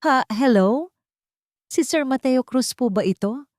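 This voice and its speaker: A woman's voice: high-pitched, lively intonation, unhurried, a little mellow, no room sound, studio quality, voice pushed up. A woman voicing a frightened, deferential old housemaid with a trembling voice in a dramatic story. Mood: fearful, urgent.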